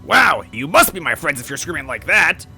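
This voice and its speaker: Gruff, loud, dopey bearded oaf. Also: male voice, fairly high pitch, moderate pace, soft background music, pitched above the performer's own voice, exaggerated voice. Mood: excited, friendly. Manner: loud, excitable, cheerily earnest. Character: gruff, dopey, bearded stout man, manic childlike party lover.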